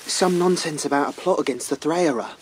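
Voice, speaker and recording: A man's voice: a little high, fairly quick, a little hiss or hum, close to an everyday voice. A male actor playing an eager, jittery young rabbit in a radio drama. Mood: urgent.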